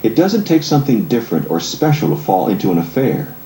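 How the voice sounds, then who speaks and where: A man reading scripted audiobook narration in a measured, explanatory way. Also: fairly low pitch, very animated, warm tone. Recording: echoey room, faint background noise.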